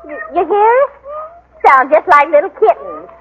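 A woman playing a folksy, fussy, soft-hearted country wife in a 1940s radio drama. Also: very high-pitched, wildly swooping pitch, moderate pace, exaggerated voice. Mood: nagging, concerned. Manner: folksy, emotional, rustic grammar. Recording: muffled, noisy 1940s radio recording.

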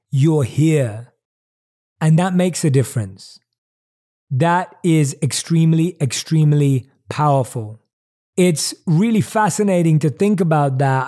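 A man's voice: on the high side, warm tone. A man hosting his own podcast, talking alone to his listeners with enthusiasm and emphatic repeated words. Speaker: podcast host. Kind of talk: solo podcast monologue.

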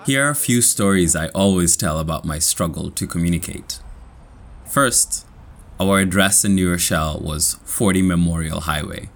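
Kenyan guy, really deep voice, reads his story slow and clear for learners. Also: a bit bright. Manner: slow, clear, measured reading. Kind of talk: scripted personal story for learners.

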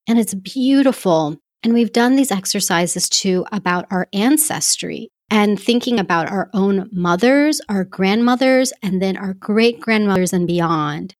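A woman's voice: medium pitch, dry recording, studio quality. A woman hosting a podcast, telling a personal story in an earnest, reflective way. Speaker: podcast host.

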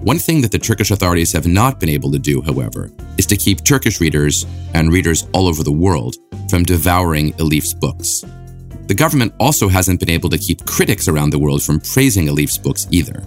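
A man hosting a podcast, reading a scripted introduction and asking interview questions in a measured, academic way. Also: deep voice, lively intonation, soft background music. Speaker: podcast host.

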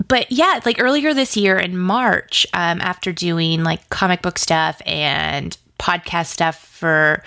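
Chatty woman with a slightly lower voice. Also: a bit bright, no echo, studio quality. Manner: chatty and enthusiastic, says "like" very often. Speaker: interview guest, podcast and pop culture site creator. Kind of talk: casual interview chat.

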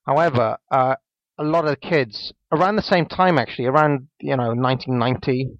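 A man's voice: medium pitch, brisk, no echo. Welsh man in his twenties, hesitant. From Wales. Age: 20s. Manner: hesitant, many ums and restarts. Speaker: podcast co-host. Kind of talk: casual podcast chat. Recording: dull lo-fi dry sound.

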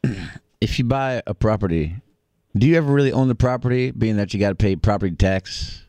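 A man co-hosting a talk show, joking and teasing in a deadpan way. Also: on the low side, a little fast, no room sound. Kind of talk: casual talk show banter.

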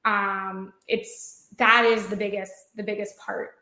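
A woman's voice: mid-range voice, level tone, slow pace, pushed and bright. An American woman talking as a guest in an interview, chatty, full of fillers and restarts. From United States. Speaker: marketing guest. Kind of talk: conversational interview. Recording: roomy echoey sound.

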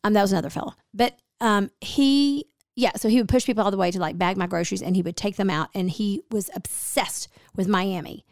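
A middle-aged woman chatting on her podcast, telling stories and playing out both sides of the conversation. Her voice is a little gravelly and hoarse, with a medium pitch. The sound is close and dry. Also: brisk, few pauses, dry recording. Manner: chatty storyteller, acts out quoted dialogue. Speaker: podcast host. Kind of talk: casual chat.

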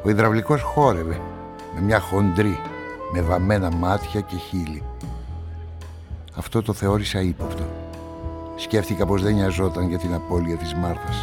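An actor playing a guarded amateur sleuth, telling a crime story in measured, reflective radio-play narration and dialogue. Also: male voice, deep voice, fairly even intonation, music in the background, natural voice.

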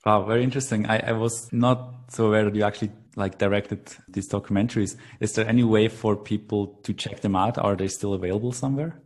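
A man's voice: fairly low pitch, steady tone, fast talker, few pauses. A man hosting a podcast interview, asking friendly, curious questions with some hesitant phrasing. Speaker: podcast host.

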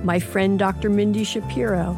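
Woman reading podcast promo, medium pitch, bit of music behind. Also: steady tone, moderate pace. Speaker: podcast host. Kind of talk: scripted promo narration. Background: music bed.

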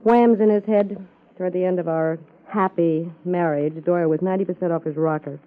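An American actress playing a jaded, sharp-tongued ex-showgirl wife in a 1950s radio crime drama, sardonic and world-weary. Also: lowish voice, steady tone, brisk, natural voice. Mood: bitter, weary. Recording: thin, phone-like vintage radio recording.

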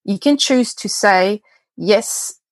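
A woman's voice: mid-range voice, slow, no echo. A young adult woman with a French accent, a guest data scientist chatting casually in an interview, laughing and restarting her sentences often. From France.

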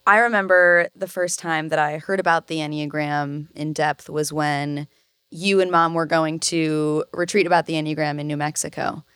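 Woman in her 20s, low voice, dry wit, dry close mic. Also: a bit bright, clean tone, dry recording. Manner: dry, wry one-liners. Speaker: guest, host's daughter. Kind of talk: casual family podcast chat.

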